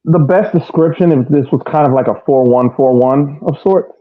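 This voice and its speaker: A man's voice: mid-range voice, moderate pace. A man on a podcast panel in casual sports chat, often repeating his phrases, over a thin phone-like line.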